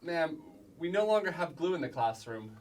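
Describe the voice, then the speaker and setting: A man's voice: a little high, big pitch swings, moderate pace. Melodramatic, easily horrified kindergarten boy, adult male actor, ornate wording, stage comedy, recorded from afar. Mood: reverent. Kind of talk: staged sketch comedy reading. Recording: distant stage mic.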